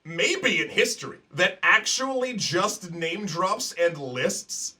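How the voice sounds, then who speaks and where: An enthusiastic man guests on a casual podcast over a remote call. His voice is high and very bright, sounding a little distant from the mic. He talks in a jokey, easy way. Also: animated, slow pace. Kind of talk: casual chat. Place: at home in an apartment.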